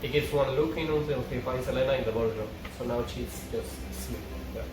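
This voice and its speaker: A man's voice: medium pitch, fairly quick, noisy background. Man reading aloud in an echoey, distant recording. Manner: informal narration, stumbling over written words. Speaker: reader of stage directions and dialogue at a script table read. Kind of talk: casual script read-through with side comments. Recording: very echoey, distant mic, background music. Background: music.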